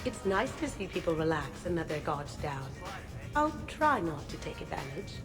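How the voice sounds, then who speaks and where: Sly, teasing flirt. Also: female voice, low voice, sing-song, moderate pace. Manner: teasing, suggestive. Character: sly, flirtatious, self-assured woman. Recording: music playing behind the voice.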